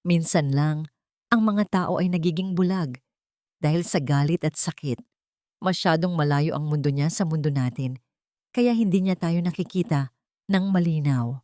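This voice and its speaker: A woman storyteller voicing a gentle, forgiving poor mother, patient in manner. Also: deep voice, normal speed, dry recording, studio quality, natural voice. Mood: gentle, sad. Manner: soft, patient.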